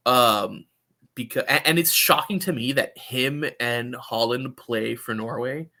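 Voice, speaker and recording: A man's voice: mid-range voice, a little slow, creaky, sharp tone. A man hosting a sports podcast, chatting casually about soccer and often repeating words as he thinks aloud.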